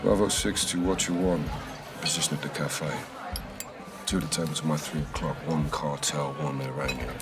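A man's voice: a bass voice, steady tone, normal speed, loud music behind the voice. A middle-aged man with a British accent playing a terse, unflappable special forces captain in a game. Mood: dry, cool. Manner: terse, clipped military talk. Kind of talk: cinematic game acting.

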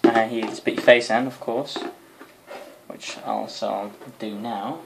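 A man's voice: on the low side, steady tone, unhurried, vocal fry. A young man giving a casual, rambling toy demonstration to camera, sounding tired, recorded on a mobile in a bedroom. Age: young adult. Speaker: vlogger. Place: small bedroom. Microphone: phone or camera at arm's length. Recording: faint noise, clicks, mobile recording.

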